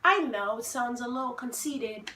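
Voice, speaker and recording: A young woman from Haiti with a high, bright voice chats at an easy, unhurried pace. She is playful and self-assured and laughs often. The room adds a noticeable echo. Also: slight background noise. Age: 20s. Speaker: vlogger host. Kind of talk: casual sibling Q&A chat.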